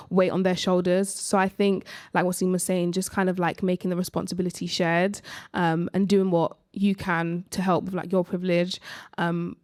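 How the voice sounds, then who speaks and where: A woman's voice: on the low side, darkish tone, no echo, studio quality. A woman speaking informally and off the cuff as a panellist, peppering her talk with "like". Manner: informal, frequent "like". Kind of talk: casual panel discussion.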